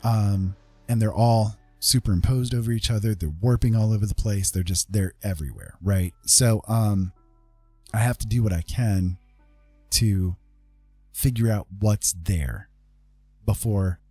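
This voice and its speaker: Husky guy on an art stream, kinda low voice, rambly and self-deprecating, music going behind him. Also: a little slow, mellow, no room sound. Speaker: artist and writer hosting a live stream. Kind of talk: casual live-stream chat while painting.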